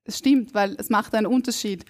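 A woman from Burgenland with an Austrian German accent, a linguist on a live podcast stage, explaining in a measured, academic way. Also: on the high side, a little mellow. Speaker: guest linguist. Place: stage before a live audience.

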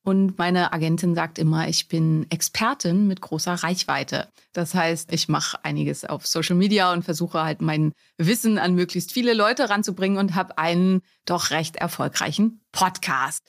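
A woman's voice: on the low side, normal speed, creaky, few pauses. Chatty woman doctor from Schleswig-Holstein. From Neumünster, Schleswig-Holstein. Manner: chatty, self-assured, wry. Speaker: physician and podcast guest. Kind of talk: casual interview answers.

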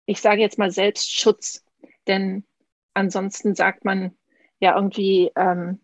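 A woman's voice: mid-range voice, flat delivery, slow pace, no room sound. Woman from Münsterland, podcast co-host, reflective storytelling, frequent fillers, dry dull recording. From Münsterland, Germany. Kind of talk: casual conversational interview.

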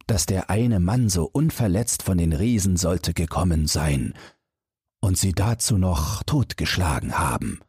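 A man with a deep, breathy, heavily husky voice narrates a fairy tale for bedtime. His delivery is slow and measured, with expressive, storybook phrasing that moves up and down. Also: dry recording, studio quality. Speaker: audiobook narrator.

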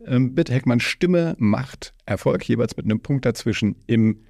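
A man's voice: medium pitch, lively intonation, moderate pace, creaky, a little mellow, no room sound, clean studio sound. A man hosting a podcast interview in a casual, conversational way, with rambling, circling phrasing. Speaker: podcast host interviewing a guest.

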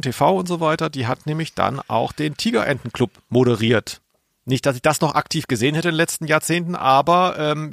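Gravelly-voiced man chatting on a podcast. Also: mid-range voice, a bit bright, dry recording, studio quality. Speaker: podcast co-host. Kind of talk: casual chat about audio dramas.

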